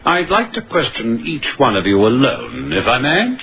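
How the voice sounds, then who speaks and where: An actor playing an authoritative, courteous but firm police inspector in a radio crime drama, measured and formal. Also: male voice, mid-range voice, huge pitch swings, heavy background noise. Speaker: lead actor and narrator. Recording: narrow-band old radio broadcast, music behind.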